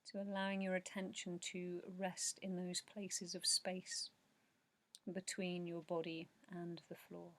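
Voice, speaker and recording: A woman with a low, very even voice guides a relaxation practice. She speaks softly and slowly, leaving long pauses and letting phrases trail into breath. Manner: slow, soothing, measured, with long pauses. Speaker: meditation guide. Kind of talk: guided meditation narration, yoga nidra.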